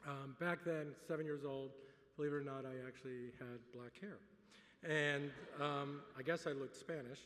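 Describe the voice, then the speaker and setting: A middle-aged man whose first language is French gives a relaxed acceptance speech at a gala dinner, with dry humor and many filler words. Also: medium pitch, moderate pace, a little hiss or hum. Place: large banquet hall. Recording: reverberant hall.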